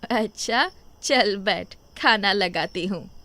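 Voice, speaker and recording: A woman voicing a kindly old grandmother, later a ghost, who speaks affectionately and reassuringly in an animated moral story. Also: mid-range voice, slow pace. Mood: warm, hospitable. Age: older.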